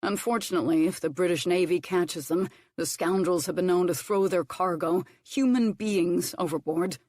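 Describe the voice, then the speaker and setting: A reserved earl with a gentle, measured way of speaking, voiced by a woman narrating a storybook. His lines stay close to her own lowish voice, calm and dry. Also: dry recording, close to an everyday voice.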